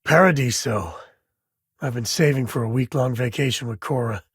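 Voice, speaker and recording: A man's voice: medium pitch, moderate pace, breathy edge, no room sound, studio quality. A man voicing an easygoing, folksy space ranger and father in a video game, with a wry, casual drawl. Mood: relaxed.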